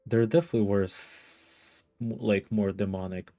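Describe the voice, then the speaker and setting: A man giving casual, halting commentary as a game streamer, musing aloud in a gravelly, husky voice. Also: on the low side, fairly even intonation, warm tone, dry recording, faint music behind. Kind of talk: casual live gameplay commentary.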